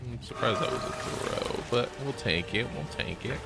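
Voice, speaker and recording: A man's voice: mid-range voice, moderate pace, creaky, over loud music. A male streamer giving casual, rambling gameplay commentary with a nasal, congested voice, as if he has just woken up. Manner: rambling, relaxed, many fillers.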